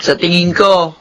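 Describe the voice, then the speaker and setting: A woman's voice: lowish voice, a bit bright, slight background noise, rough recording. An actress playing an amused, knowing motherly woman who gives reassurance in a melodramatic Filipino radio drama. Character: Mama Ana, amused, knowing motherly salon client.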